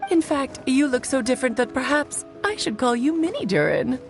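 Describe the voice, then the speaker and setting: A woman's voice: high-pitched, big pitch swings, brisk, faint music behind. A woman voicing a playful, chatty, self-assured goddess in a video game, breezy and theatrical. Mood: breezy, explanatory.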